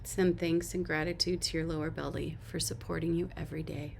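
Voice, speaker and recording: A woman leading a guided body-scan meditation as an instructor, giving gentle, unhurried instructions and soft invitations. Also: deep voice, level tone, creaky, slight background noise.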